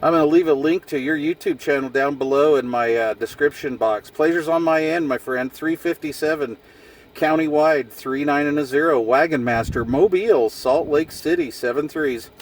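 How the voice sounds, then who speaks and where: A man's voice: a little high, normal speed. A man chatting casually in CB radio slang with a folksy, jokey manner. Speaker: CB radio operator filming a vlog. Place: outdoors in a vehicle on a hillside. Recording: distant mic with background noise.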